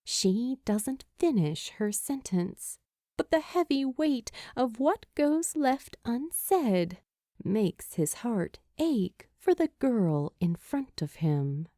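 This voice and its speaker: A woman's voice: on the high side, big pitch swings, slow pace, mellow, dry recording, studio quality. A woman reading a scripted story aloud as a fan fiction narrator.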